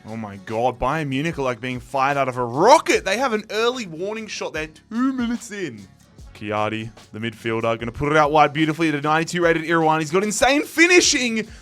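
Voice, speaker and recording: A young man, commentating his football game live, shouting at chances, his voice going hoarse. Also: on the high side, sing-song, brisk, faint music behind. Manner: shouts and groans at every chance. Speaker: gaming YouTuber.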